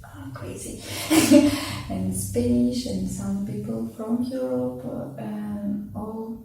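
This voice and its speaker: Woman, halting, searches for words, slow, mellow voice, echoey room, far from mic. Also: fairly high pitch, lively intonation, vocal fry. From Russia. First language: Russian. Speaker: interviewee, immigrant learning English. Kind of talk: casual conversation.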